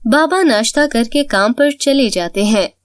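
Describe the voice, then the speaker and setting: A woman storyteller narrating for children in a very high, lively voice. Her delivery is slow and the sound is close and dry. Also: no room sound.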